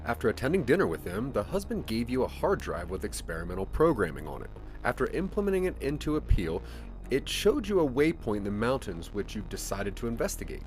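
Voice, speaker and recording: A man narrating a scripted second-person adventure story in a dramatic, deliberate storytelling manner. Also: mid-range voice. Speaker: narrator of a branching audio drama.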